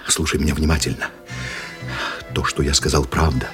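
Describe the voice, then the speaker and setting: An actor playing a suave, composed gentleman writer in a radio drama, speaking with courteous irony. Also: very deep voice, fairly even intonation, over music. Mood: hushed, tender. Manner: courteous, ironic, unhurried. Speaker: actor in an audio play. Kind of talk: Russian radio drama acting.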